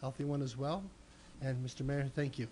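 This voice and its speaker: Middle aged commissioner, plain steady talk, faint hum behind. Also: male voice, medium pitch, brisk. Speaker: city commissioner. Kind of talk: brief council remarks. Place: council chamber, seated at dais. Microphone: desk microphone.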